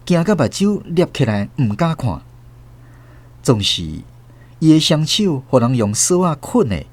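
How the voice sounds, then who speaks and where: Expressive Taiwanese male radio narrator. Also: medium pitch. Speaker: host and narrator. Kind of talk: dramatic scripted story narration for radio.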